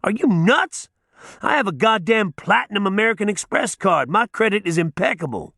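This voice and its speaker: Man doing a barking judge, foul-mouthed, high and shouty, swinging wildly in pitch, dry close sound. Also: lively intonation, moderate pace, vocal fry, dry recording, full character voice. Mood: raging. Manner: barking, yelling, profane outbursts. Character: loud bullying male judge, volatile high roller.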